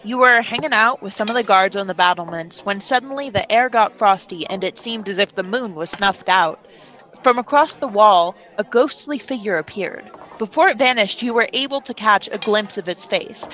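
A woman's voice: mid-range voice, normal speed, fairly bright tone, rough recording. A woman narrating a tabletop role-play podcast as game master, theatrical and slangy in her delivery.

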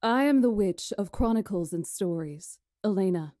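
A poised witch of a fantasy visual novel, played by a woman. She speaks in a mellow, relaxed tone, formally and deliberately, with quiet command over her listeners. The sound is dry and close. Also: medium pitch, no echo, close to an everyday voice. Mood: stern, formal. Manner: formal, deliberate, imperious storytelling. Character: poised, commanding witch storyteller lecturing a council.

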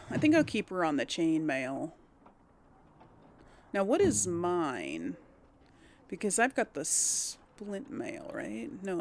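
A woman's voice: lowish voice, gravelly, slight background noise. A woman giving casual let's play commentary, hesitant and musing as she thinks aloud to herself. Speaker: gaming host.

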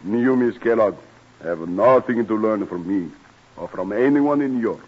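An actor playing an old, courtly European singing maestro in a radio drama, speaking in formal, measured phrases. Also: male voice, on the low side, lively intonation. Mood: admiring, solemn. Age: older. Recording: muffled vintage radio broadcast.

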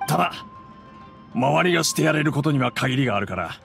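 A man voicing a gruff, blunt boatman in a video game, with rough, casual, curt speech. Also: on the high side, lively intonation, brisk, a bit bright, heavily acted. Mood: brusque, matter-of-fact. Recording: music behind the voice.

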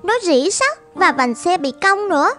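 Very high-pitched, earnest young girl. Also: animated, normal speed, fairly bright tone. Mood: eager. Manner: polite, earnest, reassuring. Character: devoted, determined fifteen-year-old girl, gentle daughter. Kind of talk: animated film dubbing. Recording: music behind the voice.

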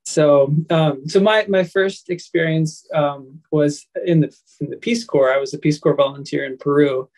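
An American man in his thirties or forties, casually recalling his experiences on a video-call panel, halting and repeating words as he goes. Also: on the high side, steady tone, a little mellow. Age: 30s or 40s. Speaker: panelist, nurse. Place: indoor room, video call. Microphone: laptop mic on video call.

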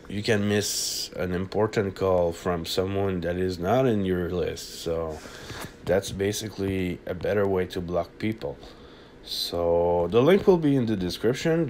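Slow, low-voiced man. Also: a little mellow, a little hiss or hum. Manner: halting, hesitant delivery. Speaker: app tutorial presenter. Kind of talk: casual unscripted screen-recording walkthrough.

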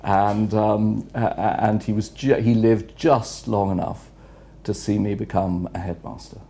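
Gravelly, mellow-voiced older gentleman. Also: on the low side, flat delivery. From England. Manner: measured, reflective, unhurried with frequent pauses. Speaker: retired headmaster, guest. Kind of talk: interview answers. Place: office room with city view window.

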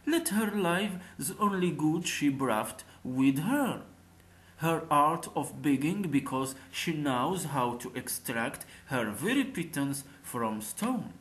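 Man as a slow, wise royal vizier. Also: fairly high pitch, animated, fairly bright tone, poor audio. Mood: counselling. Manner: measured, advising. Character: wise, calm male royal vizier giving counsel.

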